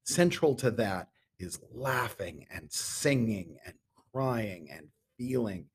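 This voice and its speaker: A middle-aged man answering interview questions as a guest, measured and given to emphatic repetition. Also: lowish voice, big pitch swings, a little hiss or hum. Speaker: interview guest, theater artistic director.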